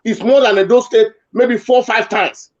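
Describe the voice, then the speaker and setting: A man's voice: very high-pitched, fairly even intonation, moderate pace. Emphatic Nigerian man arguing, lo-fi. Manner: emphatic, argumentative, repeats points. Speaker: town hall panelist. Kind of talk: heated live debate.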